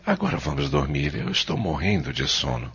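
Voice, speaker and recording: A man's voice: deep voice, wildly swooping pitch, a little fast, vocal fry, a bit bright, slight background noise, heavily acted. A man playing a sleepy, grumbling but reassuring family father in an audio drama. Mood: weary, grumbling. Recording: muffled lo-fi audio.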